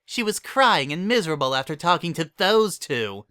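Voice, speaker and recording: Man doing a high, indignant pony mare. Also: very animated, moderate pace, bright, forceful tone, clear, resonant tone, no room sound, clean studio sound. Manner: stern, warning. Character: protective, indignant female cartoon pony friend.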